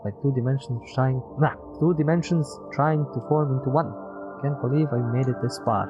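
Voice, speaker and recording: A man streams a video game and talks his way through it in a casual voice at an ordinary pitch. He thinks aloud, mutters to himself and swears freely. Music plays clearly behind him. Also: a little fast, muffled recording. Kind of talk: casual live gameplay commentary.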